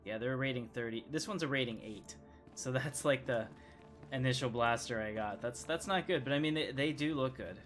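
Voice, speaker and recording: A man with a mid-pitched voice gives informal video game commentary. He talks through his play as he goes, thinking aloud in a casual streamer style. Game music is clearly audible behind his voice. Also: fairly quick, fairly bright tone. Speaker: gaming streamer.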